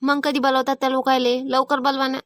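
A fed-up village daughter-in-law in a cartoon comedy, played by a woman. Her high voice is insistent and defiant, with a rural Maharashtrian accent and a close, dry sound. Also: flat delivery, fairly quick, fairly bright tone, no echo. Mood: fed-up, argumentative. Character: stubborn, fed-up village daughter-in-law, married fifteen years. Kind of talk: animated family comedy acting.

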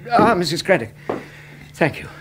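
Proper english academic, pompous n exasperated, voice swoops a lot, radio play with bit of noise. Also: male voice, high voice, very animated, normal speed. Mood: politely concerned. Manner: formal, indignant, clipped politeness. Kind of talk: radio drama acting.

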